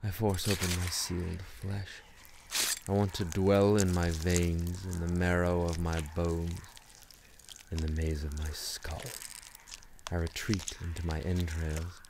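A man plays a brooding, self-loathing tragic antihero in a radio drama. His low, creaky voice drags slowly and theatrically through a tormented monologue. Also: completely flat delivery, background noise. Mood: despairing.

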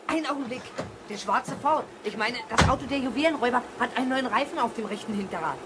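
A young-sounding performer playing a boastful, excited boy detective in a children's radio play, eager and self-assured. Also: medium pitch, fast talker, close to an everyday voice. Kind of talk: 1970s German children's radio play acting. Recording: clear engine hum and noise.

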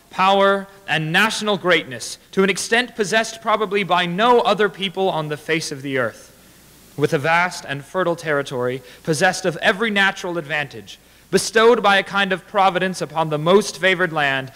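A man's voice: on the high side, bright, forceful tone. A young man giving a formal, declamatory dramatic reading of a historical speech at a podium microphone. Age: young adult. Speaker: reader at a staged dramatic reading. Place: large indoor hall with small audience. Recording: echoey hall, podium microphone.

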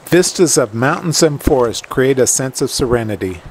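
A man's voice: medium pitch, expressive, moderate pace, slight background noise, low-quality recording. A man delivering scripted travel narration as the presenter of a hiking channel. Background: background music.

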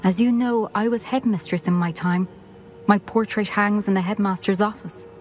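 An actress playing a formal, composed former headmistress speaking from a portrait in a game. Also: female voice, medium pitch, fairly even intonation, normal speed, darkish tone, soft background music, close to an everyday voice. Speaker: game character.

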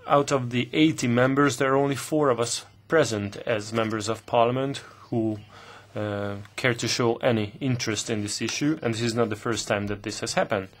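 A man interpreting formal committee questions live, speaking in halting bursts with pauses mid-phrase. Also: mid-range voice, fairly even intonation, slight background noise. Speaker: simultaneous interpreter.

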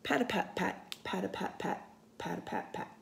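A woman reading a picture book aloud for storytime, expressive and sing-song, with casual asides. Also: medium pitch, normal speed, creaky, noisy background. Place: indoors, room by a window.

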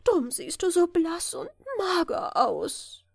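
A woman's voice: very high-pitched, sing-song, takes it slowly, creaky, no room sound, clean studio sound, voice pushed up, exaggerated voice. A woman voicing a doting queen mother, wailing with pity as she fusses. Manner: wailing, pitying. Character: doting, lamenting queen mother fussing over son.

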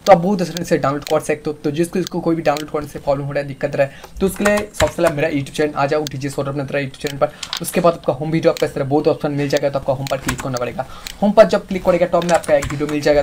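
Indian male tutorial narrator, instructional, slightly high voice, very brisk, homemade noisy recording. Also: darkish tone. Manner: repetitive, instructional. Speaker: music producer and channel host.

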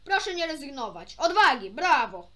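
A woman's voice: high-pitched, sing-song, moderate pace, sharp tone, heavily acted. A woman voicing a pompous, bossy male school inspector in a storybook, speaking formally and emphatically. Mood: solemn praise.

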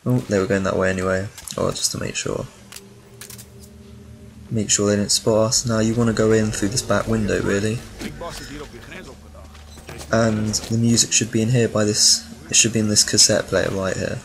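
Low-voiced man doing game walkthrough. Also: flat delivery, moderate pace, long pauses. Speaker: video game guide maker. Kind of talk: casual walkthrough commentary over gameplay. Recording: clear background noise, game ambience.

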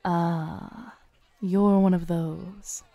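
Young woman, low mellow smooth voice, coaxing fortune-teller roleplay, dry close mic. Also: steady tone, drawn-out words, dry recording. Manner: soft, coaxing, gently teasing. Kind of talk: scripted roleplay audio.

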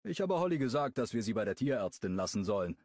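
A man's voice: lowish voice, sing-song, fast talker, darkish tone, dry recording, clean studio sound, pitched above the performer's own voice. A man voicing an exasperated young man who grumbles about his unruly dog.

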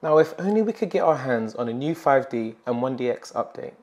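A young man presenting a tech expo report, reading scripted narration in a measured way. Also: mid-range voice, lively intonation, a little mellow. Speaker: presenter for a photo retailer. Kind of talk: scripted tech show voice-over. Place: large indoor expo hall. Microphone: lapel mic.

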